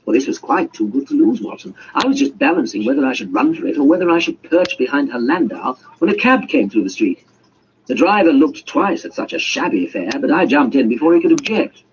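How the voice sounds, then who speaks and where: A man's voice: mid-range voice, very animated, brisk. A man reading a story as a theatrical audiobook narrator in a dramatic, scripted style. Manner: theatrical, voices dialogue. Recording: muffled, noisy amateur audiobook recording.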